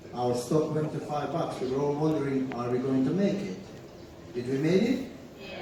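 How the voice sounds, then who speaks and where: A man, a ship's captain, giving a chatty, joking speech to crew on stage, often asking "right?". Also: a little high. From Croatia. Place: large theater hall on a cruise ship. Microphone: handheld, filmed from far in the audience. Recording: echoey hall from far off, noisy.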